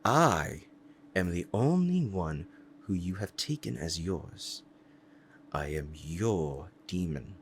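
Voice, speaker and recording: A man voicing a powerful, possessive and devoted demon in an intense, deliberate ASMR roleplay monologue. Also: deep voice, sing-song, slight background noise, heavily acted. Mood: possessive, commanding. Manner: intense, deliberate, dramatic pauses.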